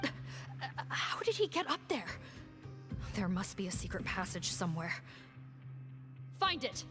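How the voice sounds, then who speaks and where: An actress playing a brisk, authoritative law officer in a video game, firm and commanding. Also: female voice, medium pitch, very animated, music in the background. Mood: surprised. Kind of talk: video game character voice acting.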